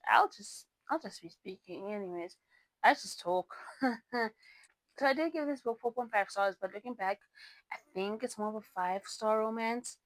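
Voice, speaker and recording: A woman's voice: mid-range voice, no echo, rough recording. A young woman, not a native English speaker, hosting a casual book review vlog and rambling through her picks with self-corrections and laughs. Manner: chatty, rambling, self-correcting, giggly asides. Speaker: book reviewer, channel host.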